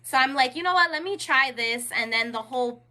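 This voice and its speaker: A woman in her thirties with a New York accent, a rapping poet chatting as a guest and acting out her own quotes in lively asides. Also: high-pitched, fairly quick. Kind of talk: casual interview chat.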